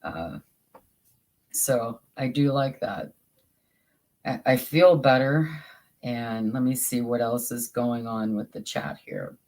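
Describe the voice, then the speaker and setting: A livestream host with a soothing voice, chatting casually with viewers while crafting, halting and restarting often. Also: medium pitch, expressive, a little mellow. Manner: slow and halting, with many repeated starts.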